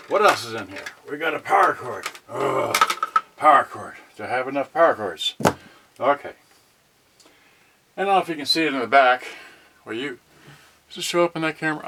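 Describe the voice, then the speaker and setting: Male vlogger, medium pitch, unhurried and halting, casual muttered asides while handling packages, noisy recording. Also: animated. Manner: halting, muttering asides to viewers, mildly grumbling. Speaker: unboxing vlogger. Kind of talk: casual rambling unboxing commentary. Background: clicks and crinkling packaging.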